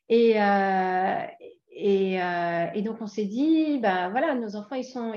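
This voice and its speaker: A French woman in her forties answers interview questions at a relaxed, halting pace, with plenty of fillers and restarts. Her voice sits at an ordinary middle pitch. The recording is dull and lo-fi, with a roomy echo around her. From France. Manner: hesitant, many fillers and restarts. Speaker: interview guest. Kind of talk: casual interview conversation.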